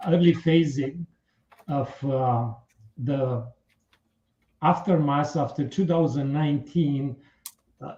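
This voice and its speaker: A man with a Romanian accent, a political candidate speaking earnestly in a radio interview, with halting, measured phrasing. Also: a little high, mellow, echoey room, muffled recording.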